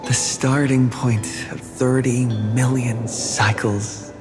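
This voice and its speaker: An actor playing a calm, quiet young traveler in a game, speaking in a hushed, breathy way. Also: male voice, mid-range voice, takes it slowly, over music, lightly acted. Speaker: game character. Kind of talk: game cutscene voice acting. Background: game score.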